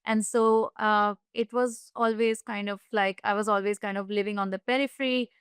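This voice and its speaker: A woman from India speaking on a panel in a friendly, measured way. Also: medium pitch, fairly even intonation, smooth, no echo. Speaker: assistant professor, panelist. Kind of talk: panel talk.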